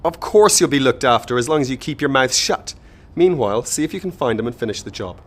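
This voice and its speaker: An actor in a radio drama playing a cold, ruthless male intelligence agent with clipped, deadpan delivery. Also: mid-range voice, quick pace, lightly acted. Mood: tense, threatening.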